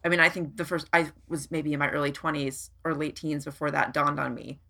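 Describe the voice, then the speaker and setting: Lady podcast host chatting casually, kinda low voice but really bright and forward. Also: fairly even intonation, a little fast, vocal fry. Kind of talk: casual conversational podcast chat.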